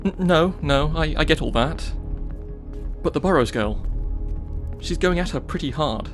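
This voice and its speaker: An actor playing a level-headed police detective in an audio drama, tentative and questioning. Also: male voice, on the high side, expressive, measured pace, soft background music. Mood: concerned.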